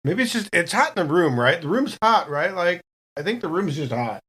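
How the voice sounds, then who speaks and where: A man plays a panicky slacker patient in a sci-fi tabletop game. He complains in repetitive, drawling slang and cannot settle down. His pitch jumps around in a very animated way. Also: moderate pace, slightly rough, full character voice. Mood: whiny, uneasy. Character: whiny, panicky male patient Wild Bill, restless slacker.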